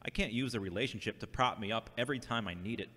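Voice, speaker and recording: A man's voice: fairly low pitch, fast talker, sharp tone. A man playing an earnest, devout, searching younger brother who questions himself, in an amateur audio drama. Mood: earnest, reasoning. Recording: reverberant hall-like sound.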